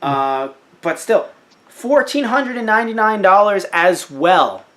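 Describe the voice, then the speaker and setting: Young male podcast co-host, animated and jokey, very expressive pitch. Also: a little slow. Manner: animated, joking, with mocking put-on quotes. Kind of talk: casual chat about a crowdfunding campaign.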